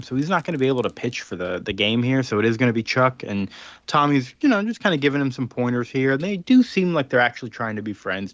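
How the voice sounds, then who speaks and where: A man's voice: medium pitch, a bit bright, no room sound, dull audio. A man casually retelling a comic's plot on a podcast, rambling with lots of fillers. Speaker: podcast host recapping a comic. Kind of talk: casual chat.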